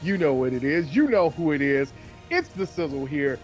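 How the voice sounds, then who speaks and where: A man with a somewhat high-pitched voice banters casually as a sports show co-host, with music behind him. He is playful and likes to repeat a phrase for emphasis. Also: animated, normal speed.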